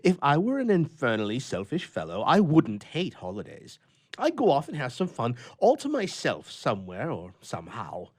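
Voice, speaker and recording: Guy doing a grumpy lonely old bachelor from a storybook, big indignant speeches, pitch jumps all over the place. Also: huge pitch swings, normal speed. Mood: indignant misery. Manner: emphatic, indignant, old-fashioned oratorical delivery. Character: irritable, lonely old bachelor who hates holidays. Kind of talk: theatrical storybook reading.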